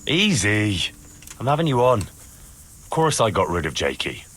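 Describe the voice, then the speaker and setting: A wary young servant of a lord in a video game. He is blunt and dryly sardonic, with a fairly low voice that moves around in pitch expressively. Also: big pitch swings, a little slow, a bit bright. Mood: dry, matter-of-fact. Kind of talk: acted video game cutscene dialogue.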